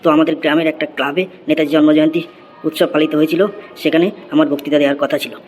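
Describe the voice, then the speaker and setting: A man's voice: a little high, little pitch movement, very fast, a little mellow, slight background noise, natural voice. A man voicing an eager schoolboy in a comedy cartoon, reciting essays by rote. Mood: earnest, reciting.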